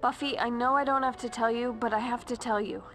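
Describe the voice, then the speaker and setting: A nerdy teenage sidekick in a video game. She is earnest and chirpy with a fairly high voice, slightly awkward, over music. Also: steady tone, fairly quick, a bit bright. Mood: gently concerned.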